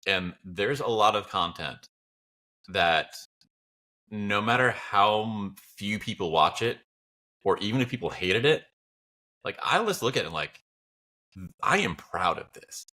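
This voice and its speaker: A man's voice: on the low side, expressive, unhurried, long pauses, pushed and bright. A man chatting casually as a podcast guest, talking in a reflective, encouraging and informal way. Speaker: podcast guest, audio drama creator. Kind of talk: casual chat.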